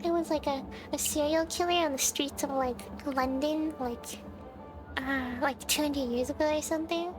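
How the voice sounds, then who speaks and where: A woman's voice: sits high, moderate pace. A woman livestreamer chatting casually to viewers as she plays a game, thinking aloud and often asking questions. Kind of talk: casual chat while playing a game for viewers. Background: video game music.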